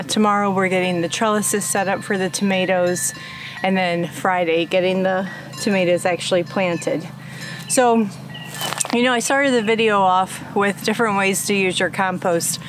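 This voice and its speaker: A middle-aged woman hosting a homestead vlog, chatting casually while working in an outdoor garden. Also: medium pitch. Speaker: homestead vlogger. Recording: outdoor noise behind.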